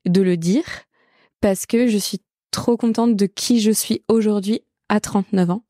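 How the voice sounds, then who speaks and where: A woman's voice: medium pitch, fairly even intonation, measured pace, dark tone, dry recording, clean studio sound. A woman in her late thirties hosting an intimate conversational podcast. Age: late 30s. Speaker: podcast host.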